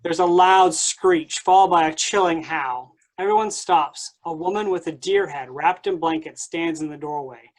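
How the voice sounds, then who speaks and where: A woman's voice: on the low side, moderate pace, hardly any pauses, low-quality recording. A woman reading aloud and hosting at a staged play reading. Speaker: stage-directions reader and moderator.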